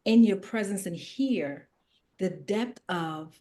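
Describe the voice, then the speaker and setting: This is a woman guest on a video call who speaks with earnest encouragement. Her voice sits at a middle pitch, and she talks in heartfelt, blessing-filled phrases. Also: animated, takes it slowly, dark tone.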